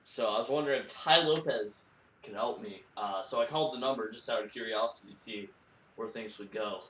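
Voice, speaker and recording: A man making a prank call in a halting, awkward, deadpan and naive-sounding conversational manner. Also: lowish voice. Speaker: prank caller. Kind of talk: casual improvised phone conversation. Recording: roomy echo with faint background noise.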